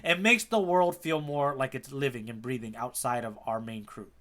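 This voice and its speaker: A man's voice: mid-range voice, moderate pace, a bit bright. A man co-hosting a casual manga podcast, talking with geeky enthusiasm in loose, slangy phrasing. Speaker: podcast co-host, the guide. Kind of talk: casual chat about a manga.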